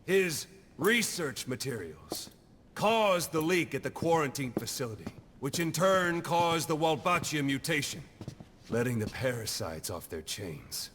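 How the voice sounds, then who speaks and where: A man's voice: mid-range voice, very animated, a little slow, a bit bright, in a large hall, a little hiss or hum. A male actor playing a stern military accuser in a video game cutscene, setting out charges in a grave, formal manner. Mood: grave, accusing.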